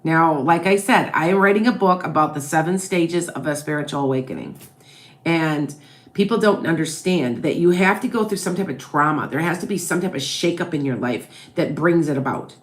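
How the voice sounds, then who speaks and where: This is a woman tarot reader from the Midwest, her accent a blend of Wisconsin and Chicago. She talks in a low, easy, chatty way on a live stream. She answers viewer questions one after another and keeps asking "okay? all right?". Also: moderate pace. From American Midwest.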